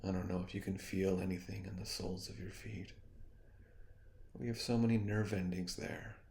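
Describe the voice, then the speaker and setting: A man leading a guided meditation as a teacher, speaking in a soothing, gently suggestive way with long, unhurried pauses. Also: low voice, fairly even intonation, gravelly, slight background noise. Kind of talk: guided meditation, hypnotic spoken guidance.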